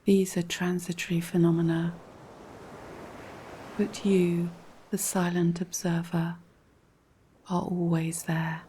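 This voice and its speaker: A woman narrates a guided relaxation in a slightly low, mellow voice. Her delivery is very slow, calm and measured, with a soft breathiness as she gives instruction. There is faint noise behind her.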